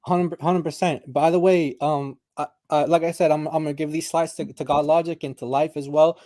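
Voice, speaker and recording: A man presenting in a casual religious debate chat, relaxed and often laughing between points. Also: a little high, fairly quick, no echo, studio quality. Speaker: guest presenter.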